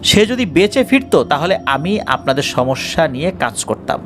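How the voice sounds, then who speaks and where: A man's voice: a little high, sing-song, moderate pace, noisy background. A man voicing a wary village healer in a horror story, explaining solemnly. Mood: solemn refusal. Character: wary male village healer refusing a dangerous case. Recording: music bed behind the voice.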